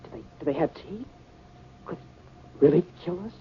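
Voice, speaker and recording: A male actor playing a frightened, polite young boy in a 1950s radio drama, earnest, anxious and halting. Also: on the high side, lively intonation, vocal fry, breathy edge, heavily acted. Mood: nervous resolve. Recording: muffled, noisy old 1950 radio recording.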